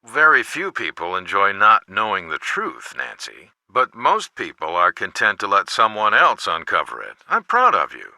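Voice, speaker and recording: A caring American father in a mystery game. His voice is very bright and forward, with animated intonation. He speaks articulately, giving fatherly advice, and sounds close and dry. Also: fairly low pitch, moderate pace, vocal fry, few pauses, no room sound, heavily acted. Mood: thoughtful, advising. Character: teenage detective's caring, measured lawyer father. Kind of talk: video game voice acting.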